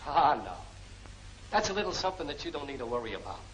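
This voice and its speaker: Slangy gangster henchman, cocky, fake friendly, highish voice, crackly old serial sound. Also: big pitch swings, quick pace, a bit bright. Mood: coaxing, menacing. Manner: slangy tough talk, punchy and chummy. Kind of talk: 1940s film serial acting. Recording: noisy old film soundtrack.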